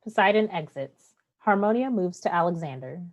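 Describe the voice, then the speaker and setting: A woman narrates a staged play reading, giving the scripted stage directions. Her voice sits slightly low for a woman. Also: animated, moderate pace.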